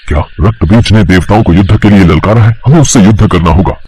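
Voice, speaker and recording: A man's voice: low voice, fast talker, mellow, breathy edge. A man voicing a worried, reverent king of the gods, formal and pleading, in a mythological story. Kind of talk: Hindi mythological audio story acting.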